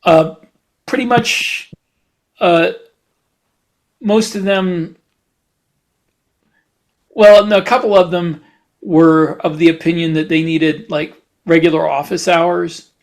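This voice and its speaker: A man co-hosting a podcast in casual chat, talking in a halting, rambling way. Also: a little high, fairly even intonation. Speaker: podcast host; writer.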